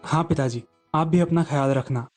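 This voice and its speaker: A man's voice: a little high, moderate pace, a little mellow, soft background music, lightly acted. A man voicing a studious, self-assured young son in a children's story.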